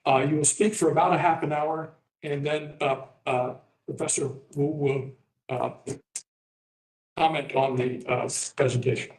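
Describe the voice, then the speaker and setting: An older man who grew up in Taiwan, moderating a panel and introducing speakers in a halting, rambling way full of fillers and restarts. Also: fairly high pitch, steady tone, a little mellow. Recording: echoey room.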